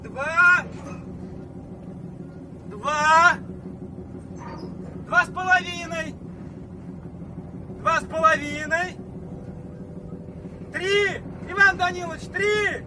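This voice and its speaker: An actor playing a sailor who sings out depth soundings in slow calls in a Soviet radio play. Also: male voice, very high-pitched, steady tone, exaggerated voice. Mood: calling out. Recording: noisy old outdoor-scene radio recording.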